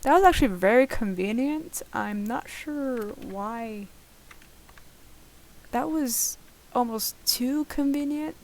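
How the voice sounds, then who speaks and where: A woman's voice: on the high side, expressive, slow pace, low-quality recording. A young woman giving casual, rambling, self-deprecating commentary while playing a game, recorded at home. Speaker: gaming video host. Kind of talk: casual Let's Play commentary of a survival game. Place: at home, late at night.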